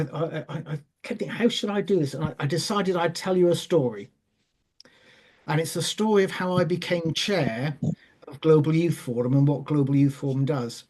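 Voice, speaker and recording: Man, 60s, measured storyteller on a video call, voice sits a bit high. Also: darkish tone. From Wales. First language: Welsh. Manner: measured, with frequent ums and self-repeats. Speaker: forum guest speaker. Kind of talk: online forum talk, telling a personal story.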